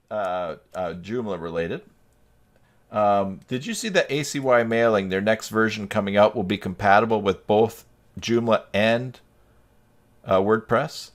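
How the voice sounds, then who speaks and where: A man chatting casually on an online video call, in a slightly hesitant, rambling way. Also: fairly low pitch. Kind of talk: casual video call chat about web tools.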